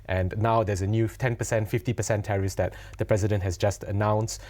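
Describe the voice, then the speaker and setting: A man's voice: on the low side, little pitch movement, fairly quick, a little mellow. A man hosting a broadcast interview, asking long, conversational questions with some fillers. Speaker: host.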